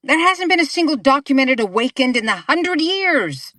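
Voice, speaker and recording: A woman's voice: sits high, big pitch swings, normal speed, pushed and bright, no echo, studio quality, exaggerated voice. A woman playing a cynical, condescending member of a secret society in an audio drama. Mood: scornful. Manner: condescending, mocking.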